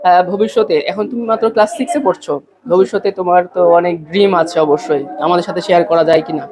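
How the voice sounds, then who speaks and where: A man's voice: sits high, fairly even intonation, fast talker, background noise. A man with a Bangladeshi accent interviewing casually as a podcast host, repeating answers back and dropping in English phrases. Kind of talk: casual interview, questioning a child.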